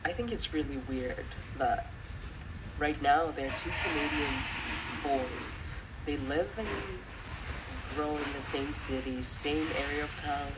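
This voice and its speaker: Young adult woman, low voice, earnest halting commentary, recorded off-mic with clear noise. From Western country, of Rwandan descent. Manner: earnest, reflective, halting with pauses. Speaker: channel host giving commentary. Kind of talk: personal spoken commentary. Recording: distant mic, clearly noisy background.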